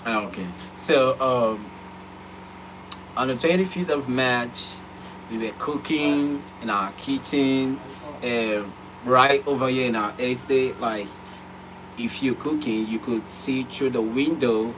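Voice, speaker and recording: A man tells a story in a relaxed, unhurried way, pausing with ums as he goes. His voice sits at a middle pitch for a man. The home recording carries a clear layer of noise behind him. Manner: relaxed, hesitant, with ums and uhs. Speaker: vlog co-host. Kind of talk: casual storytelling. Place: at home, seated.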